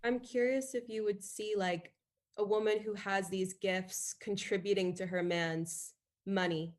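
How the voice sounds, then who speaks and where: A woman's voice: mid-range voice, fairly even intonation, measured pace. Hesitant young woman caller. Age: 20s. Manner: hesitant, full of "like". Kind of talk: call-in conversation.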